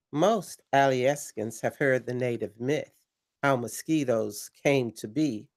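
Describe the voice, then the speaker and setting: A theatrical late-night pirate radio host, slow and deliberate, her pitch rising and falling dramatically, as a woman plays her. She savors each phrase and leans into the eerie parts of her story. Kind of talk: audio drama acting.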